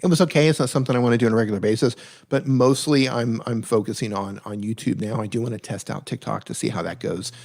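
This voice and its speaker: Man, middle-pitched voice, live-stream host, casual chat, direct advice, self-correcting. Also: fast talker, vocal fry, a little mellow. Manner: reads viewer questions aloud, then answers conversationally.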